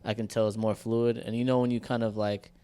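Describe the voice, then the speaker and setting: A man's voice: fairly low pitch, flat delivery, fairly quick, dark tone, smooth. A young man co-hosting a podcast, telling stories in loose, profane slang. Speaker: podcast co-host. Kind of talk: casual chat.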